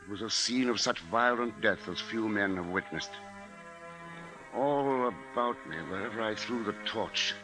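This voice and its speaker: An actor playing a grave, resolute middle-aged father who narrates in a measured, dramatic way in a 1950s radio drama. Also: on the low side. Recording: noisy 1950s radio broadcast recording.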